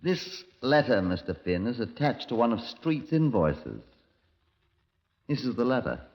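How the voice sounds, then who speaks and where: An actor playing a brisk, commanding British chief inspector in a 1950s radio drama, middle-aged, clipped and authoritative. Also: male voice, medium pitch, sing-song. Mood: stern, official. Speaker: detective narrator. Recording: dull, lo-fi old radio recording.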